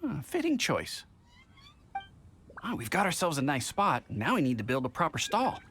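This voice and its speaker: A man's voice: a little high, huge pitch swings, moderate pace, a bit bright, a little hiss or hum. A man playing a genial, practical middle-aged innkeeper in a video game, chatty, thoughtful and polite. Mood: genial, helpful. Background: music.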